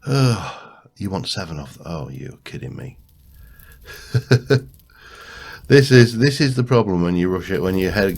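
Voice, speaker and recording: A man's voice: sits low, creaky, darkish tone, slight background noise. A man with a congested, cold-stuffed voice, thinking aloud as he hosts a casual gameplay commentary. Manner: thinks aloud, muttering to himself. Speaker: let's play host.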